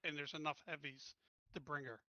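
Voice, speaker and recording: A man's voice: fairly high pitch, steady tone, brisk, sharp tone, dry recording, lo-fi sound. A man playing a tabletop game, talking offhand and blunt with casual swearing. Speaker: player.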